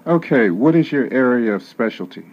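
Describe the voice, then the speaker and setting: A man's voice: mid-range voice, lively intonation, normal speed. A man hosting an interview, giving a formal introduction and asking short, direct questions. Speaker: interviewer, host. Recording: dull lo-fi audio.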